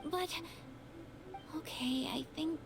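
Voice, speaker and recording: A woman playing a gentle, shy, polite young noblewoman in a video game. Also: high voice, slow pace, creaky, soft background music, full character voice. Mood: flustered, polite. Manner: polite, formal, slightly flustered.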